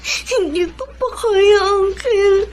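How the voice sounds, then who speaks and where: A woman's voice: very high voice, slow pace, a little mellow. An actress playing a plaintive young orphaned niece pleading with her uncle in a radio drama. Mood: tearful pleading. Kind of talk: Filipino radio drama acting.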